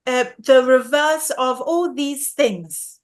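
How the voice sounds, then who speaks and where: A woman's voice: sits high, slow pace. A female performer playing an earnest, dutiful young boy in a stage drama, with careful delivery. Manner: careful recitation.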